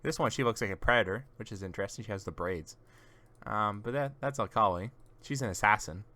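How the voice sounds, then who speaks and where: A man's voice: lowish voice, a little fast, pushed and bright. A man casually chatting on a gaming stream, giving rambling, teasing explanations to a friend as he shows off game characters.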